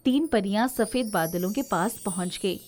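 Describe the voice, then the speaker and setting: A woman's voice: mid-range voice, normal speed, a little mellow, faint music behind. A woman narrating a children's story in a scripted storytelling style.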